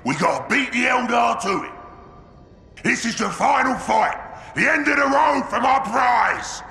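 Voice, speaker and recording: An actor playing a brash, greedy ork warlord in a video game, growling and bullying with a Cockney accent. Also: male voice, extremely high pitch, animated, unhurried, echoey room, heavily acted. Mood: rallying, boastful. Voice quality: gravelly, growling. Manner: shouted, bullying. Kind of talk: video game character acting.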